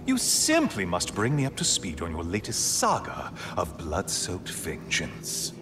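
An actor playing a smug, theatrical sun god in a video game, with an oily tone and a sarcastic, taunting drawl. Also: male voice, low voice, wildly swooping pitch, measured pace, music in the background, exaggerated voice. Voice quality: slimy, oily tone.

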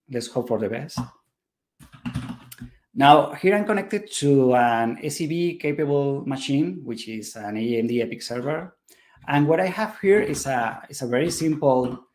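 A man's voice: medium pitch, darkish tone. Hesitant man giving a technical talk. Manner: hesitant, many fillers and restarts. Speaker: conference presenter. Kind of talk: technical talk with live demo.

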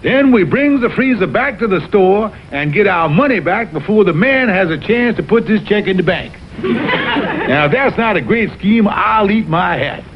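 A man's voice: sits high, animated, normal speed, background noise. A man voicing a pompous, scheming Southern colonel, a folksy cartoon animal con artist, in a vintage cartoon. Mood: smug. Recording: dull old TV cartoon soundtrack, music behind.

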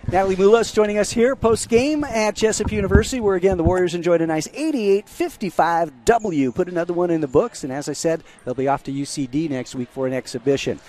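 A man's voice: sits high, quick pace, few pauses. A middle-aged man hosting a postgame interview, chatty and joking, speaking into a headset mic at a courtside table in a gym. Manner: lively, chatty, jokey. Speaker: sports broadcaster, postgame host.

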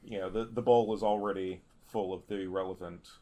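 A man's voice: sits low, fairly even intonation, fairly quick, warm tone. A man playing a tabletop game, casually speaking in character and describing his actions.

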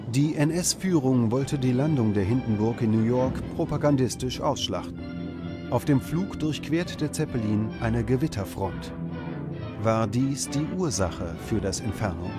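A man reads a scripted documentary narration at a mid pitch. His delivery is measured and dramatic, and music plays clearly behind him.

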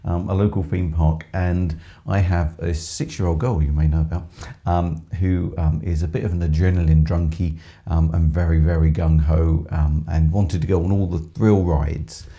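A middle-aged man with a hoarse voice, chatting on a live stream from a small music shop studio, reading out viewer comments with lots of ums. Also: deep voice, level tone, normal speed, mellow, lo-fi sound. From England. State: hoarse from screaming. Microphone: headset with microphone.

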